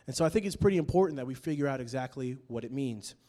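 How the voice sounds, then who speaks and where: A young man preaching a casual, animated sermon, joking with his listeners as he explains a word from the Bible. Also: medium pitch, fast talker. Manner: conversational and animated, jokes with the crowd.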